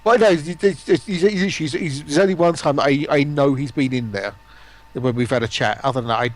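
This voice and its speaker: A man's voice: fairly high pitch, a little fast. A man who streams, chatting casually with others on a group voice call. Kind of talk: casual chat.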